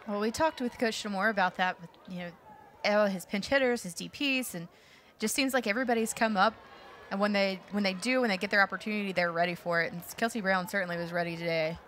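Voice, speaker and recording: A woman giving color commentary on a televised softball game in a conversational, analytical way. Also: mid-range voice, fast, a little hiss or hum. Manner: conversational analysis with casual fillers.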